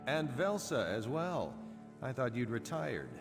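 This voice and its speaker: An actor playing a sly, smooth-talking captive thief in a video game, measured and teasing in a theatrical way. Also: male voice, mid-range voice, big pitch swings. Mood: wry, teasing. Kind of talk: scripted video game dialogue. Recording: long reverb, music underneath.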